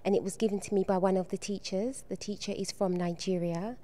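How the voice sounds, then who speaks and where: Soft, mellow-voiced woman with frequent ums. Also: mid-range voice, steady tone, a little fast. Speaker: art teacher and exhibition organizer. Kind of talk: on-location TV interview. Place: indoors at a school art exhibition.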